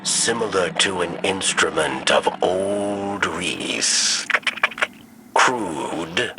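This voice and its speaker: An actor playing a wry, philosophical alien spymaster in a video game, speaking in measured, cryptic phrases. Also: male voice, low-pitched, expressive, noisy background, exaggerated voice. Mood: wry, musing. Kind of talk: video game mission dialogue.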